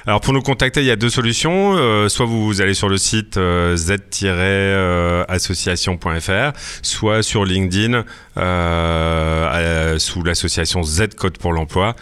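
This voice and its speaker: Man, association spokesman, very low pitch, smooth clear voice, hesitant explaining. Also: unhurried, fairly bright tone. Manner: explanatory, with frequent euh fillers. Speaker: association representative. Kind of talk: interview answers.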